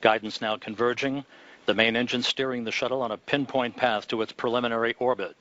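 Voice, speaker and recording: A man giving live space launch commentary in a measured, formal announcer style. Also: medium pitch, steady tone. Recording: muffled broadcast audio under loud ambient noise.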